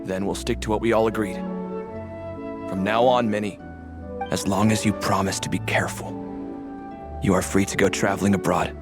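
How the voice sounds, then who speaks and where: Guy doing game dad, middle aged, lowish voice, firm but kind, loud music under it. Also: fairly even intonation, moderate pace, long pauses, lightly acted. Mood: firm, kindly. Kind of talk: video game voice acting. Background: loud background music.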